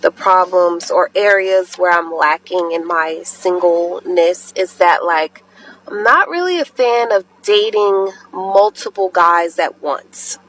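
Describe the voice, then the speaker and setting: A woman with a lowish voice talks casually to camera. She is hesitant and often trails off mid-thought. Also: slow, a bit bright, rough recording. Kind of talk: casual video confessional.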